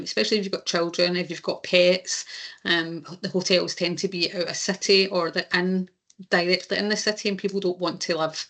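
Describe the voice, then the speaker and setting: Scottish woman with a gravelly, flat voice. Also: on the low side. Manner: steady, explanatory, walking through slides. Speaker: insurance claims presenter. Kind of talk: webinar presentation.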